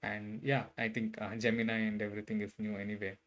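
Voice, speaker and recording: A man talks to viewers on a coding live stream. His voice sits low and stays flat. He speaks hesitantly, with lots of fillers and restarts, but stays friendly throughout. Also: fast talker. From Bangalore, India. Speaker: livestreaming software engineer. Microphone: desk mic set a bit far.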